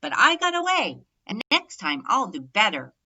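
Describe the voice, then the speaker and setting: A woman's voice: mid-range voice, moderate pace, dull audio, slight background noise, heavily acted. A woman voicing an eager, plucky young male turkey in a children's storybook, speaking in earnest, emphatic rhyme. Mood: proud, hopeful. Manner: earnest, excitable, emphatic rhyming delivery.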